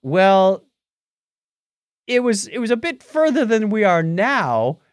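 A man co-hosting a podcast in casual chat, sometimes halting as he searches for words. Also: high-pitched, sing-song, no echo, studio quality. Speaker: podcast co-host. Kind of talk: casual chat about movies and tech.

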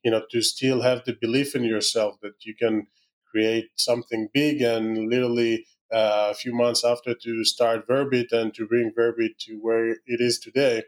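A middle-aged man with an Israeli accent, a startup founder answering podcast questions in halting English full of "you know" fillers. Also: medium pitch, little pitch movement. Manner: halting, many "you know" fillers, non-native grammar.

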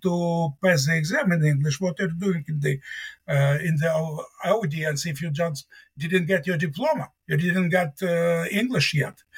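A husky, rather high-pitched man whose first language is Russian talks as a guest in an interview. He is middle-aged and speaks earnestly and emphatically, returning to his key words to stress them. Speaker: mathematics professor.

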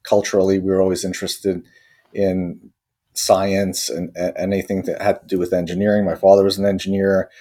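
Very deep-voiced man from Croatia. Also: little pitch movement, fairly quick, dark tone. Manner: thoughtful, many fillers and restarts, earnest. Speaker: company co-founder and technology chief. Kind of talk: interview answers.

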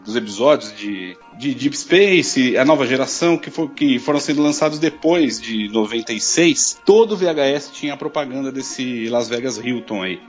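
A man's voice: mid-range voice, normal speed, hardly any pauses, lo-fi sound, faint music behind. A man co-hosting a podcast, telling stories casually and saying "cara" often. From Brazil. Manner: relaxed storytelling, uses "cara" often. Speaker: podcast co-host.

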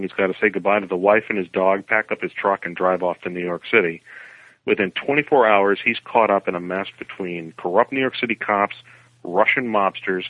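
Man, low voice, narrow phone-like sound, dry, novelist in casual interview. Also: no echo. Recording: tinny, band-limited phone-like line.

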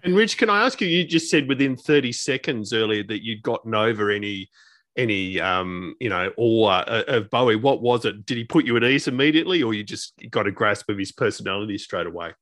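A man's voice: fairly low pitch, animated, a little fast, fairly bright tone, no room sound, clean studio sound. A man co-hosting a casual interview, enthusiastic, with long rambling questions. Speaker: podcast co-host, interviewer.

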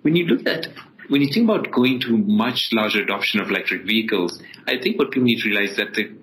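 A male startup founder from Ethiopia speaks as an interview guest. His voice sits a little low, and he explains his points in a conversational way. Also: expressive, quick pace, a bit bright, slight background noise, rough recording.

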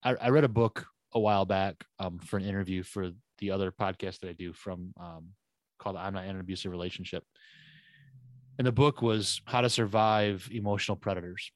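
A man's voice: low voice, fairly even intonation, a little fast, no room sound. A man co-hosting a podcast who rambles and thinks aloud with many restarts. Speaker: podcast co-host. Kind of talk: casual conversational chat.

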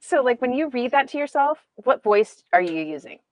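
A woman's voice: high voice, normal speed, dry recording. Giggly lady rambling about her meditation, voice jumps all over, dry mic. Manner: giggly, rambling, animated storyteller. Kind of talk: casual chat about meditation.

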